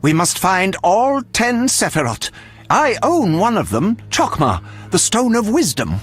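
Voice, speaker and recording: An actor playing an eccentric, self-important alchemist in a video game, obsessive and theatrical, with fussy delivery. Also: male voice, high-pitched, huge pitch swings, unhurried, slightly rough, faint music behind, full character voice. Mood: excited, grandiose.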